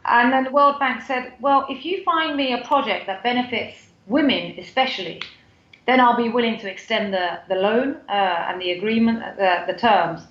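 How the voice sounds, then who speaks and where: A woman's voice: a little high, moderate pace, echoey room, highs cut off. A woman from the UK with a British accent, a guest on a podcast interview, talking in a chatty way with many fillers and restarts. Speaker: guest, foundation CEO.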